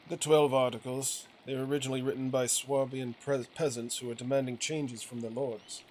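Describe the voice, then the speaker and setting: A man's voice: medium pitch, level tone, a little fast, darkish tone, close to an everyday voice. A man voicing a weary, resentful printer and father from a video game, curt, firm and reproachful, with a righteous streak. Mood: earnest, righteous. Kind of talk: acted reading of video game dialogue. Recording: clearly noisy game ambience behind voice.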